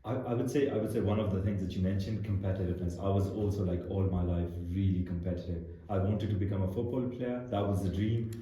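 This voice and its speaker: Low, dark-voiced young man in an echoey room. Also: fast, few pauses. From Pakistan. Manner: earnest, thinks aloud with restarts and laughs. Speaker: guest founder. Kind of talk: casual interview conversation.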